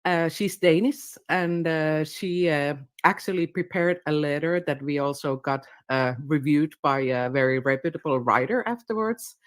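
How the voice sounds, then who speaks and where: Low-voiced woman, halting speech. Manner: hesitant, many uh fillers. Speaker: guest video clip. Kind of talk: casual explanation.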